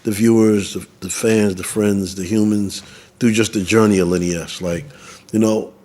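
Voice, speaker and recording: A man's voice: fairly low pitch, fairly even intonation, moderate pace, dark tone. A man from the Bronx, hosting a casual interview and asking loose, rambling questions. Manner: loose, searching phrasing with restarts. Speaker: podcast host.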